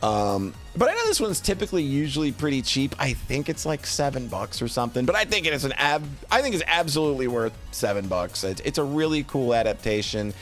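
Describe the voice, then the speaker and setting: A man giving casual, unscripted commentary as a streamer, halting often and repeating or correcting words as they go. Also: medium pitch, big pitch swings, faint music behind. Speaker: streamer reviewing visual novels.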